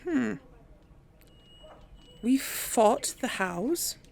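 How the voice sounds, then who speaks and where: A woman's voice: fairly high pitch, very animated, drawn-out words, gravelly, a little mellow. A woman hosting a role-play gaming video, musing aloud in casual, chatty commentary and reading out dialogue choices. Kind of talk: casual game commentary mixed with in-character dialogue.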